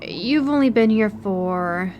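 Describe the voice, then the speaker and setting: A woman in an audio roleplay playing an educated, politely condescending aquarium worker who speaks in a measured, precise way. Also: medium pitch, expressive, darkish tone. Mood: conversational, mildly weary. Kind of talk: audio roleplay acting, one-sided conversation.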